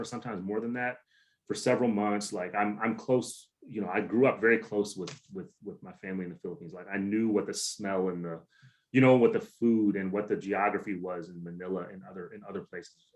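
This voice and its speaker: A man with a fairly low voice talks through a dense academic answer. He speaks casually and often repeats words as he restarts his thoughts. He leans on theoretical terms, sounding like a scholar speaking over a video call. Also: rough recording. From United States. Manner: conversational, many restarts and hedges, theoretical vocabulary. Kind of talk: academic talk answering questions.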